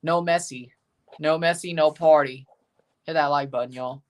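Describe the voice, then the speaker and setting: A man's voice: a little high, steady tone, moderate pace, recorded from a distance. Rambling Southern American man streaming. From United States. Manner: rambling, casual, mild swearing. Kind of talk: live match watchalong chat.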